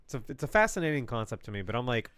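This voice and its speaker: Lively young American man rambling. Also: mid-range voice, rapid-fire, clean tone, no room sound, clean studio sound. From Florida. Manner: rambling, laughs often, many fillers and restarts. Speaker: podcast co-host. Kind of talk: casual live podcast chat.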